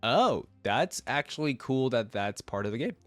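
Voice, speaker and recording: A man's voice: medium pitch, very animated, moderate pace, a bit bright, no room sound. A man with a cold giving casual, off-the-cuff reaction commentary as a video host, informal and slangy as he thinks aloud. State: congested from a cold.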